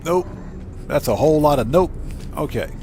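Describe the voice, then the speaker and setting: A man's voice: on the high side, lively intonation, normal speed, darkish tone, slight background noise. A man giving casual, off-the-cuff commentary as he live streams a video game.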